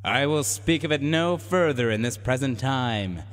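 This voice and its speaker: A man's voice: mid-range voice, animated, unhurried, lightly acted. A man playing a pompous, haughty supercomputer in a science fiction radio drama, declaiming in grandiose archaic phrases. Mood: solemn, prophetic. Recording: long reverberant hall echo.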